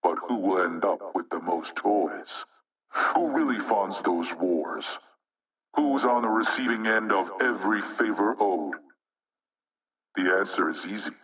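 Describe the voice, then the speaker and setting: An actor playing an anonymous male hacktivist spokesman in a video game propaganda broadcast, speaking in a measured, rhetorical, insinuating way with menace. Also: deep voice, animated, fairly bright tone, recorded from a distance, heavily acted, low-quality recording. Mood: accusing.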